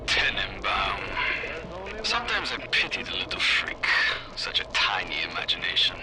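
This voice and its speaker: A man's voice: low-pitched, wildly swooping pitch, normal speed, very noisy. An actor voicing an arrogant male scientist, dictating game audio-diary notes in clipped, emphatic broken English. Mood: scornful.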